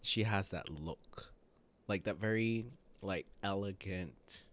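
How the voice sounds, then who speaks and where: A man's voice: low-pitched, slow, fairly bright tone, a little hiss or hum. A man giving casual reaction commentary as a video host, thinking aloud in a hesitant way.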